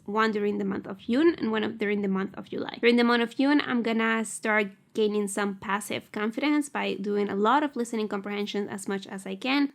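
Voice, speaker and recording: Chatty woman, higher voice. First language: Spanish. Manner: chatty and candid, with filler "like". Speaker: language-learning vlogger. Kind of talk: casual explanatory vlog.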